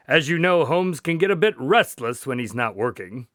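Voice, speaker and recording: A man's voice: medium pitch, animated, moderate pace, a bit bright, dry recording, studio quality, lightly acted. An actor playing a genial male doctor who recounts detective adventures in a radio drama, polite and wry. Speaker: storyteller and narrator. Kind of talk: modern radio drama acting.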